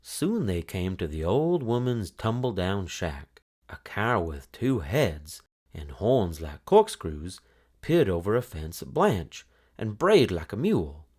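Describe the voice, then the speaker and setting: Low-voiced, animated male storyteller. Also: slow. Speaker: storybook narrator. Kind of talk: expressive children's read-aloud narration.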